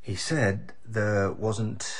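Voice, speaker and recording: An actor playing a nervous, deferential male political aide in a radio drama, with a husky voice and a flustered, stammering delivery. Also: lowish voice, fairly even intonation, drawn-out words, heavily acted.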